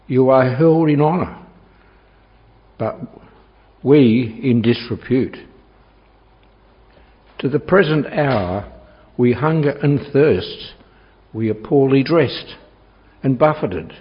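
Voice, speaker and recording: A man reading scripture aloud in a measured, solemn way. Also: medium pitch. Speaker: scripture reader. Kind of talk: Bible reading. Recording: dull lo-fi church recording.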